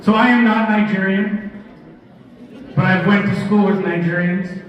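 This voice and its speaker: A man from Sri Lanka with a high, fairly flat voice pitches an event to a conference crowd in an upbeat, promotional way. He sounds distant in a large, reverberant hall. A crowd murmurs behind him. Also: measured pace, lo-fi sound. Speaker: event company representative. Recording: echoey hall, distant mic, crowd noise. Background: crowd chatter.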